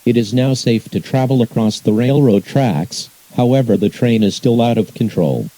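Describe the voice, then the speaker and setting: A man's voice: medium pitch, normal speed, dark tone, no echo, low-quality recording. A man reading scripted emergency alerts as an announcer, in a formal, impersonal, synthetic-sounding delivery.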